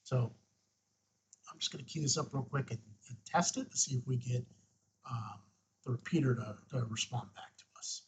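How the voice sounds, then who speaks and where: A man with a husky, rough-edged voice presenting a casual step-by-step tutorial, informal and thinking aloud as he goes. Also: medium pitch, moderate pace. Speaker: host, ham radio tutorial presenter.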